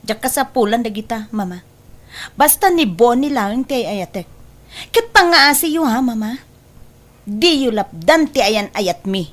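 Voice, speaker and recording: Slow-speaking young Ilocano woman in love acted. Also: fairly high pitch, animated. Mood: pleading, defiant. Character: young woman in love, pleading and stubborn daughter. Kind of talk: dramatized Ilocano radio-style story acting.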